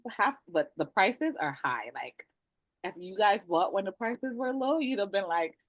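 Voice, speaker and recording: Blunt, animated Jamaican woman realtor. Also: medium pitch, unhurried, dry recording. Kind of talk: webinar panel talk. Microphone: video call.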